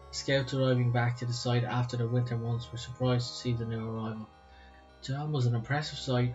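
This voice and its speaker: A man's voice: mid-range voice, a little mellow, lo-fi sound. A man with an Irish accent, co-hosting a podcast and reading a story aloud. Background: music.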